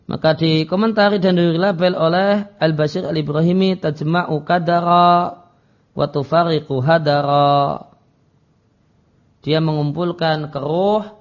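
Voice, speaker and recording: A man's voice: fairly high pitch, fairly even intonation, slow. A man giving an Islamic lecture as a teacher, reading Arabic phrases and explaining them didactically in a dull, lo-fi recording.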